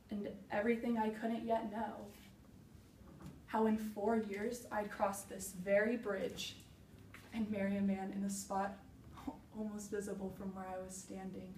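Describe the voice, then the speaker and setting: A young woman performing a dramatic monologue as a grieving, self-searching woman hiker, in deliberate, emphatic phrases with heavy pauses. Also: medium pitch, a little mellow, natural voice. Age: young adult. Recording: roomy echo, distant mic, faint noise.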